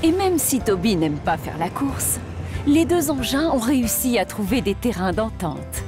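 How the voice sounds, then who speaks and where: A woman's voice: a little high, very animated, unhurried, music in the background. A woman giving scripted narration for a children's story.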